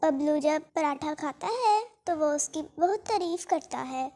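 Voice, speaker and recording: Very high-pitched woman narrating. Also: lively intonation, measured pace, dark tone. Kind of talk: scripted children's story narration.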